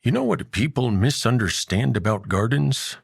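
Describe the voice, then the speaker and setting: A man's voice: mid-range voice, animated, normal speed, creaky, breathy edge, no room sound, clean studio sound, pitched above the performer's own voice. A man voicing a jovial, towering, very old doctor in a story, genial and grandly pronouncing. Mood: genial, philosophical.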